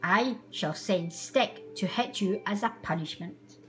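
A woman's voice: medium pitch, measured pace, faint music behind, voice pushed down, lightly acted. A woman voicing a hot-tempered little female sparrow in a storybook, squawking her demands indignantly. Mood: bossy.

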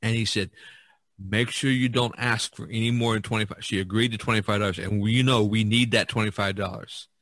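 Male podcast host, forceful bright tone, close dry sound, casual halting storyteller. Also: lowish voice, steady tone, dry recording.

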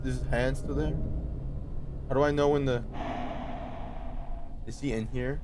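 A man's voice: mid-range voice, steady tone, unhurried, very noisy. A man in his twenties giving casual horror game commentary from his bedroom, thinking aloud in a reactive, slangy way. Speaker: gaming video host. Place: bedroom at home, indoors.

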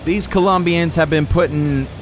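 A man on a close streaming mic, chatting casually and jokingly as a co-host pitching ideas. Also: normal speed. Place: home streaming room. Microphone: close streaming microphone. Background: music.

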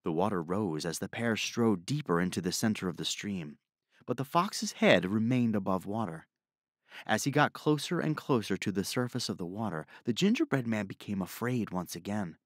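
Man narrating a children's story, lively intonation. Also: fairly low pitch, normal speed, dry recording, clean studio sound.

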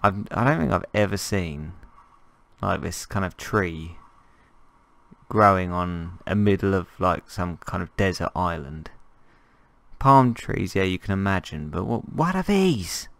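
A man with a croaky voice from a cold, chatting casually and jokingly as he plays and narrates a survival game. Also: sits low, lively intonation, measured pace, a bit bright, dry recording. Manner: rambling, jokey, thinking aloud. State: hoarse from a cold. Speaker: gameplay commentator. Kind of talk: casual gameplay commentary.

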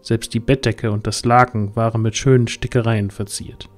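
A man's voice: lowish voice, little pitch movement, normal speed, faint music behind. Male fantasy audio drama narrator.

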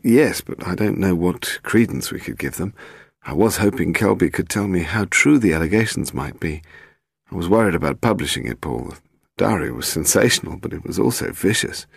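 Audiobook role: nervous publisher, male played by a male narrator, halting delivery with stammers, lively intonation. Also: deep voice, vocal fry, breathy edge, no room sound, studio quality, exaggerated voice. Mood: troubled. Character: anxious, hesitant male publisher. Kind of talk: audiobook character voice.

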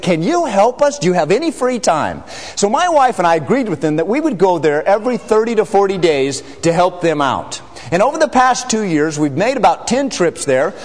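A middle-aged American man, a missionary, telling stories to a church congregation in an animated, joking way. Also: sits high. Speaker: missionary speaker. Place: large church sanctuary. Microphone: far camera. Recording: reverberant sanctuary.

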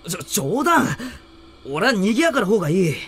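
A man's voice: high-pitched, big pitch swings, normal speed, fairly bright tone, a little hiss or hum. A man playing a young father in a Japanese anime audio drama, talking in a casual, blunt, familiar way. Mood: bright, joking. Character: lively young father, worried about his daughter.

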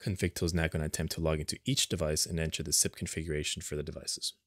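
A man narrating an unscripted step-by-step software tutorial in a plain, instructional manner, recorded as a screen voice-over. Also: a bass voice, flat delivery, rapid-fire, no room sound, clean studio sound.

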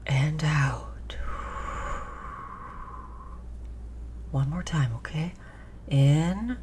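A woman playing a gentle human who soothes their android companion in an intimate roleplay audio drama, tender and reassuring. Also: deep voice, noisy background. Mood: hushed, comforting. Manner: soothing, tender, hushed, repeating reassurances softly.

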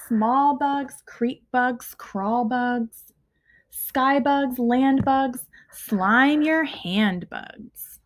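Slow, high-voiced young woman reading to kids. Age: young adult. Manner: deliberate, emphatic phrasing with pauses between short rhymes. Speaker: teacher reading a picture book to children. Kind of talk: expressive children's read-aloud. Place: small living room at home, indoors.

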